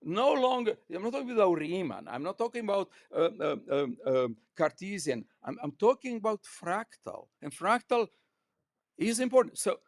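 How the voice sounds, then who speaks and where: An older man with a Croatian accent, talking as a physics professor in an interview in an emphatic, digressive way, often repeating words haltingly. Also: sits high, dry recording, studio quality. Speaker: physics professor, podcast guest. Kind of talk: unscripted, discursive interview talk.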